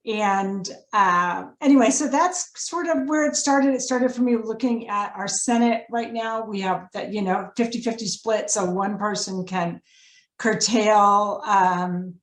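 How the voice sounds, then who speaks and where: A woman's voice: mid-range voice, unhurried. A woman chatting casually as a guest author, with a roomy, echoing sound. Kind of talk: casual chat.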